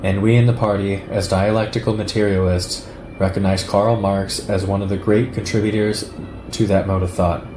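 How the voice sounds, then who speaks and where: Low male narrator, measured political lecture read from text, roomy sound with noise behind. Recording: echoey room with clear background noise.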